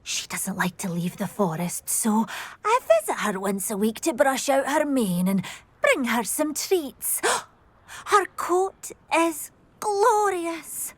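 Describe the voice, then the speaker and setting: High, fretful British village lady. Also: big pitch swings, takes it slowly, no room sound, full character voice. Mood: fond, wistful. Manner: breathy gasps, gushing, dramatic. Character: fretful, sentimental village woman devoted to her unicorn friend. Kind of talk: video game character dialogue.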